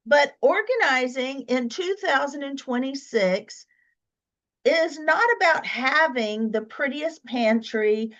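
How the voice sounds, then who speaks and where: A woman's voice: high-pitched, animated, slow, fairly bright tone, muffled recording. A woman who is a professional organizer, hosting a casual solo podcast while congested with a cold, chatty with fillers and restarts.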